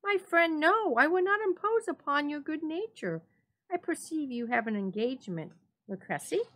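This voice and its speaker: A woman voicing a vengeful gentleman who feigns friendly concern, polite and coaxing, in a dramatic story reading. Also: sits high, animated, normal speed, voice pushed up, poor audio. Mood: mock concern.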